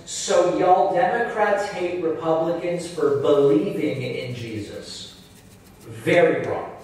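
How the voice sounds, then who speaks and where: A man delivers argumentative political commentary as a talk host, in a slightly high voice. He sounds far from the microphone, in heavy echo, with clear kitchen clatter and water behind him. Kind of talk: political commentary answering viewer messages. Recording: echoey far playback, kitchen noise.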